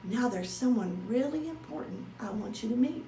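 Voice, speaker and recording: A woman's voice: on the high side, expressive, moderate pace, creaky, dark tone, recorded from a distance. A woman voicing a friendly, sincere man in a children's storybook. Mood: proud, friendly. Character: friendly, warm-hearted man, a hamster's buddy. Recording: roomy echo with faint noise.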